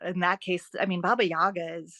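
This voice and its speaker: A woman speaking as a guest author in a podcast interview, expressive and enthusiastic in a conversational way. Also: lowish voice, brisk, no echo.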